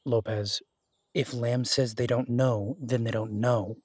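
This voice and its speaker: A man playing a steady, protective detective who speaks softly and reassuringly, trying to keep the peace. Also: medium pitch, takes it slowly, dry recording, clean studio sound. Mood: placating. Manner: soft and reassuring, pleading, peacemaking. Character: steady, protective male detective, tender with his partner. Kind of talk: audio roleplay drama acting.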